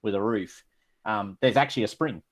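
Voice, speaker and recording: A man narrating as game master, relaxed and rambling with many fillers. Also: fairly low pitch, moderate pace.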